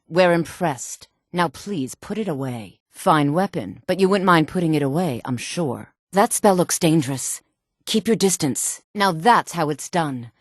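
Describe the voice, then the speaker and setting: A sultry, self-assured fantasy townswoman for a video game. Her voice sits slightly low and moves freely through its range, with a poised, teasing manner. The sound is close and dry. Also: no echo. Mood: flirtatious, teasing, then wary, cool. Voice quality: breathy-edged sultry tone. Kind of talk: video game character voice lines.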